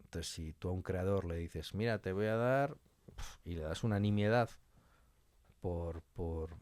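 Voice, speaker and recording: A man's voice: low-pitched, a little slow, darkish tone, no echo. A man from talking casually and colloquially as an interview guest, giving his opinions.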